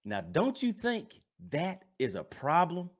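Animated American man reacting, swooping pitch. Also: slow. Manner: animated, theatrical reactions and rhetorical questions. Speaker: host of a fishing reaction vlog. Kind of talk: reaction commentary. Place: small home studio. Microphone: boom-arm microphone up close.

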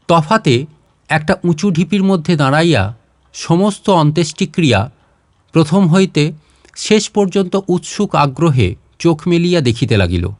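A man narrates literary prose with dramatic care. His voice sits a little high, and he moves through phrases in a measured, expressive way with pauses between them. The recording is close and dry. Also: no echo. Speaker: storyteller reading literary fiction.

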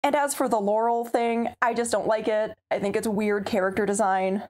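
A woman's voice: mid-range voice, steady tone, moderate pace, darkish tone. A young woman giving a scripted, opinionated review from home, talking wryly to the camera. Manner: opinionated, wry, conversational. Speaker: video reviewer. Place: home room with a starry backdrop.

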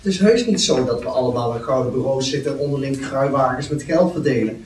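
A man's voice: mid-range voice, quick pace, lightly acted. A man playing a reserved, sensible young banker in a matter-of-fact manner at a script table read. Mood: defensive. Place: meeting room. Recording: roomy, distant mic, faint noise.

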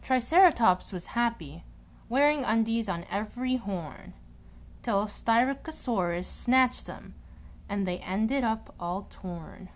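A woman reading a children's picture book aloud in an expressive, deliberate storytelling manner, stressing key words. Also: fairly high pitch.

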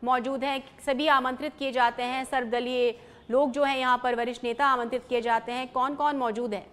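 A female studio anchor with a high, smooth, clear voice. She hands over to a field reporter and asks formal, brisk questions. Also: level tone, a bit bright. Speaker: news anchor.

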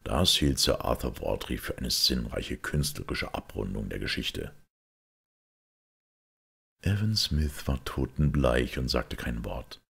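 A man with a gravelly voice reading a detective story as scripted narration. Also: rumbling low, animated, slow pace, fairly bright tone. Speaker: audiobook reader.

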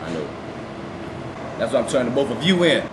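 Stern young male navy watch stander, man acting, firm and explanatory, mid-pitched, heavy room noise. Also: very animated, normal speed, close to an everyday voice. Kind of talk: amateur training-film acting. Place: indoor lobby front desk. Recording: very noisy room camera audio.

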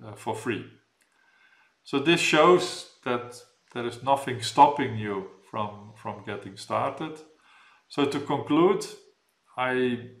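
A man's voice: mid-range voice, fairly bright tone. Middle-aged man lecturing in an echoey room. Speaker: keynote speaker, professor. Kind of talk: academic lecture with slides.

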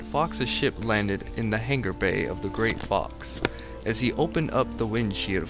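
Male co-host, lowish voice, mock dramatic story reader with sardonic asides, music behind. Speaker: co-host reading fan fiction aloud. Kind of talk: mock dramatic reading with casual banter. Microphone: online voice call.

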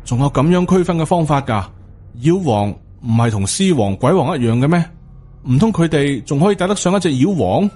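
A man's voice: on the high side, lively intonation, fairly quick, dark tone, faint music behind. A man with a Hong Kong Cantonese accent voicing a naive, stubbornly loyal young disciple in an audio storybook, earnest and pleading. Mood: curious.

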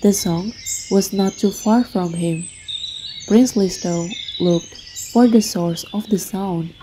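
A woman's voice: mid-range voice, slow, mellow, slight background noise. A woman narrating a scripted children's bedtime story as a storybook narrator. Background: background music.

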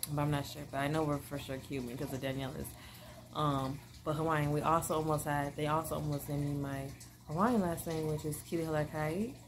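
A young woman talks to her camera at home in a low, relaxed register, bubbly and chatty, wandering through her thoughts with plenty of fillers. A steady hiss of background noise sits under the voice. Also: fairly quick. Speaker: vlogger. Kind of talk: casual chat to camera. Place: small living room at home.

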